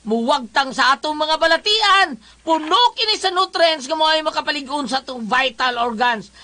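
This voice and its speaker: Female radio host, high and sharp, live-read and chat style.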